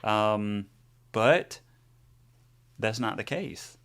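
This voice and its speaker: A man's voice: fairly low pitch, lively intonation, extremely slow, fairly bright tone, clean tone. A man in his forties co-hosting a podcast, chatting openly about his own experience in a rambling way, with many fillers and restarts. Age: 40s. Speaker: podcast co-host. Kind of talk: casual chat.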